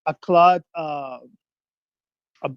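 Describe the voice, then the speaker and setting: A man's voice: fairly high pitch, fairly even intonation, drawn-out words, dry recording. A man calling in to a debate, speaking hesitantly with many fillers and restarts, heard over a phone. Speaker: caller. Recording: dull phone line.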